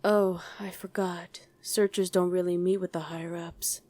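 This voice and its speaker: A woman's voice: fairly low pitch, little pitch movement, normal speed, warm tone, lightly acted. A woman playing a wry, easily irritated demon in a conversational ASMR roleplay, chatting and bickering with a partner. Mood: musing. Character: female demon on mortal-hunting duty, wry, easily irritated. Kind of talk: ASMR roleplay acting to an unheard listener.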